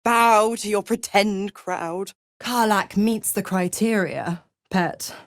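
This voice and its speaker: A woman's voice: medium pitch, takes it slowly, dry recording, clean studio sound. An actress playing a seductive, scheming female devil in a video game, mocking and purring with condescending endearments. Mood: smug, scheming. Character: seductive, scheming, gloating female devil who makes pacts. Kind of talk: theatrical game character dialogue.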